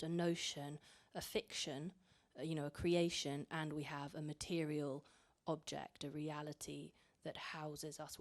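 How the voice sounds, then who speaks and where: A young woman raised in Italy, an author speaking reflectively at a library panel, often repeating words as she thinks. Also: sits low. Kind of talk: panel talk. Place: library event room.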